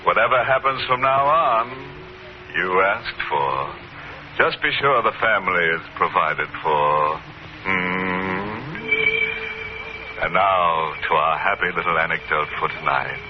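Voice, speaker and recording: A slow, ghoulish radio horror host. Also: male voice, sits low, expressive, a little hiss or hum. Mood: mock-ominous. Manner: macabre, punning, theatrical. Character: ghoulish, gleeful male horror host, mock-welcoming. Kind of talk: 1950s radio horror hosting. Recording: muffled old 1950s radio broadcast. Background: music.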